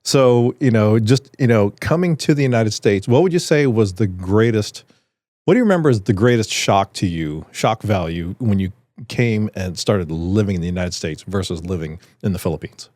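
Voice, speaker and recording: A man's voice: on the low side, expressive, normal speed, a little mellow, dry recording, studio quality. A man hosting a podcast, asking questions in a chatty, joking way with lots of "you know.". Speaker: podcast host. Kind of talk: casual interview. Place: podcast studio.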